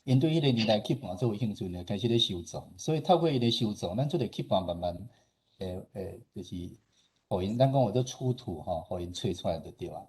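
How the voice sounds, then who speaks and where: A man's voice: lowish voice, lively intonation, brisk, a little mellow, breathy edge. A man with a Taiwanese accent presenting in a conversational, explanatory way, often ending phrases with small agreement-seeking particles. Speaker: radio station director and host presenting a talk. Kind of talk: informal slide presentation.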